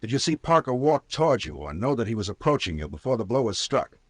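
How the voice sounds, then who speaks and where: Commanding, sardonic radio detective. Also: male voice, mid-range voice, big pitch swings, fast talker, slightly rough, no echo. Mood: probing. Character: brisk, sardonic police captain detective questioning suspects. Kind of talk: old-time radio drama acting.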